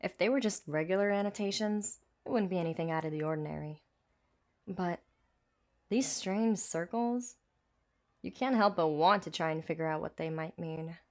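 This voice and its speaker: Low-voiced desert mercenary played by a woman. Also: normal speed, close to an everyday voice. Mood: reflective, candid. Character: frank female desert mercenary warrior, casual and reflective. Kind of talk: amateur game-quest dub.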